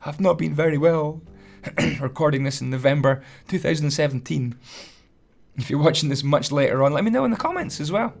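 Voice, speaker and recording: Hoarse man, fairly high voice, chatty and self-deprecating; casual tutorial host over faint music. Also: lively intonation, fairly quick. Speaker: Minecraft building tutorial host.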